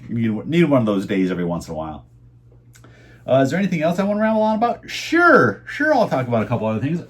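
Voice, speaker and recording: A middle-aged man casually rambling in a home vlog about his reseller sales, chatty and meandering with many fillers, his voice going hoarse. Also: medium pitch, wildly swooping pitch, quick pace. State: losing his voice. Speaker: eBay reseller vlogger.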